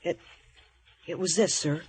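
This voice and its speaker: High-voiced eager young boy played by a male. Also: fairly even intonation, a little slow, slight background noise, lightly acted. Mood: hesitant. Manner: hesitant, deferential. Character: eager, respectful young cabin boy, earnest. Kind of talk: dramatic adventure acting.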